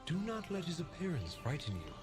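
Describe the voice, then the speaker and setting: A man voicing a calm, wise sword spirit in a video game, speaking solemnly. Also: fairly high pitch, a little fast. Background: loud game music.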